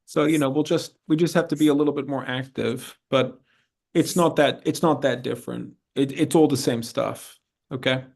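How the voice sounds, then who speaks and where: A man's voice: mid-range voice, fairly even intonation, normal speed, gravelly. A man working as an admissions consultant, informally answering student questions in a frank, encouraging way and often repeating himself. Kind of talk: informal advisory Q&A.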